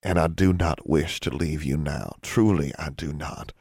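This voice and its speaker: Man as a deep-voiced, solemn, stern father. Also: darkish tone, no echo, studio quality, full character voice. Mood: solemn urgency. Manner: grave, formal, measured. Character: solemn, stern father, grave and controlled wizard. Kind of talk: dramatic audiobook character acting.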